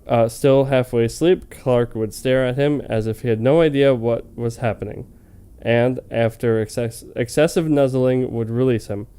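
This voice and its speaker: A man's voice: mid-range voice, steady tone, moderate pace, warm tone, clear, resonant tone. A man reading fan fiction aloud in an informal, comedic way, tripping over words now and then and prone to joking asides. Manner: breaks into laughter easily. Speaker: reader of fan fiction for a comedy web series.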